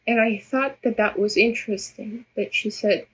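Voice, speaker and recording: Rambling, gravelly-voiced woman vlogger. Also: medium pitch, fairly even intonation, low-quality recording. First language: Russian. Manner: rambling, halting, many restarts and stammered repeats. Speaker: vlogger addressing viewers. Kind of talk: unscripted personal monologue. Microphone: phone camera.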